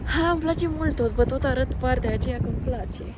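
Woman w/ a highish voice chatting to her viewers on a walk, phone mic, wind blasting the mic the whole time. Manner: chatty, warmly addresses viewers directly. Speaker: travel vlogger. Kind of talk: casual walking-tour chat to viewers. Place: outdoors on a windy seaside pier. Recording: loud wind noise on the mic.

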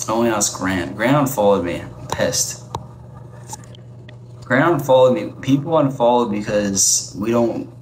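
A man chats casually on a livestream, answering his viewers' comments as they come in. His mid-range voice rings in an echoey space. Loud ambient noise runs behind him. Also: mid-range voice, expressive, unhurried. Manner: chatty, reacting to viewer comments. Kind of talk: casual live-stream chat.

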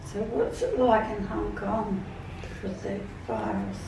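A woman's voice: mid-range voice, big pitch swings, takes it slowly. An older woman, chatting casually as a patient during treatment. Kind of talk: casual chat. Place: indoor treatment room. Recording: very echoey room, distant from mic, noisy.

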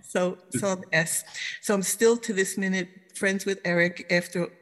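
A woman's voice: mid-range voice, steady tone, moderate pace, in a large hall. An older woman from Queens with a strong New York accent, answering interview questions with chatty, emphatic storytelling. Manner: chatty, emphatic, repeats and restarts phrases. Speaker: guest, novelist and literary scholar.

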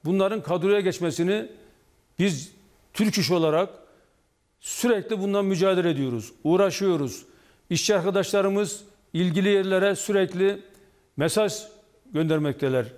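A man in his sixties speaking deliberately and haltingly as a union official in a live TV interview. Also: sits high, a little mellow. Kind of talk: live TV news interview, explaining. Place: indoor office or studio, video link to TV broadcast. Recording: long reverberant hall echo.

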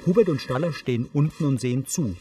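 A man's voice: medium pitch, lively intonation, fast talker. A man reading scripted audio description in a neutral, factual manner. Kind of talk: scripted narration.

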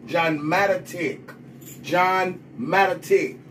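A middle-aged man bragging and riffing in loud, profane banter inside a small vending room, filmed on a phone. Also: sits high, steady tone, takes it slowly, far from the mic, slight background noise. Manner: loud, brash, profane hype talk with laughter. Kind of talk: boastful rambling banter.

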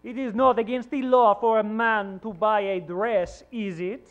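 A man's voice: high voice, steady tone, measured pace, muffled recording. A man playing a dashing, prickly club owner in an old-time radio play. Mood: defensive. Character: defensive, dashing Portuguese club owner buying a dress. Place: live theater stage.

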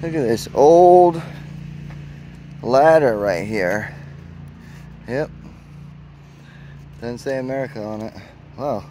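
Slow-talking man on an outdoor walking tour. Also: medium pitch, slight background noise. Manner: excited, exclaiming at what he finds. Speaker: travel vlogger. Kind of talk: casual walking tour narration. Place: outdoors at a spring park. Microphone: handheld camera.

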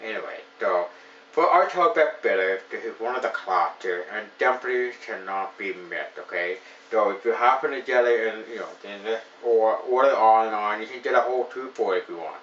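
A man in his thirties talks through a beer review in a loose, enthusiastic ramble, swinging his voice up and down. His low voice sits back from the mic in a small echoey room. The sound is a little dull. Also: expressive, fairly quick, a bit bright, far from the mic, slight background noise. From Ontario, Canada. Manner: rambling, enthusiastic, many fillers. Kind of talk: casual beer review to camera.

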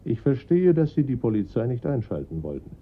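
A composed police inspector in a radio crime play. He has a middle-pitched voice and questions in a formal, measured way. The sound is muffled and lo-fi. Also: very animated, slightly rough. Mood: measured, probing. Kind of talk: German radio crime drama acting.

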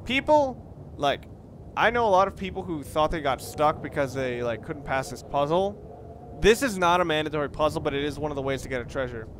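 A man's voice: fairly high pitch, lively intonation, fairly quick. A man giving casual, rambling commentary on a video game, often repeating and rephrasing himself. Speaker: Let's Play commentator. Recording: noisy, game sounds behind the voice. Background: video game ambience.

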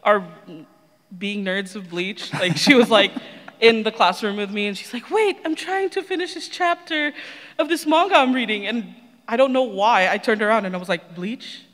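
A man asking a casual fan question from the audience in a hesitant, halting way. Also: high voice, fairly bright tone. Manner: hesitant, frequent "like". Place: large indoor convention panel room. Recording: echoey hall, long reverb.

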